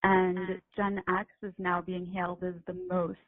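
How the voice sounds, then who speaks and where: A young woman, a guest writer, explaining her views in a measured, conversational way with occasional ums. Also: on the low side, monotone, dry recording. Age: young adult. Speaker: guest, retail writer and editor. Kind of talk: casual interview chat. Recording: dry, phone-like line.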